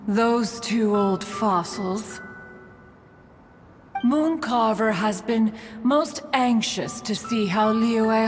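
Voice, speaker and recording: An actress playing a proud, refined immortal woman in a game, speaking in formal, archaic phrases and referring to herself as "one". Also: fairly high pitch. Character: proud, refined immortal adeptus woman, haughty yet fussy. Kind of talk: scripted game dialogue. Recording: long reverb, music behind.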